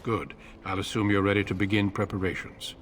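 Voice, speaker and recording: An actor playing a grave male commander with a measured, authoritative manner in a fantasy video game. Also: low-pitched, flat delivery, a little hiss or hum, natural voice. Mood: stern. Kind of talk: dramatic video game acting.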